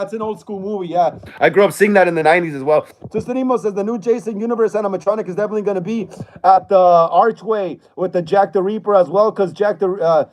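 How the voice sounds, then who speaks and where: A man in his thirties with a notably high voice hosts a relaxed livestream chat. He reads viewer comments aloud, stumbles and repeats words, and keeps calling people bro. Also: slight background noise. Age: 30s. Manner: laid-back, stammers and repeats himself, says bro a lot. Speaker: livestream host.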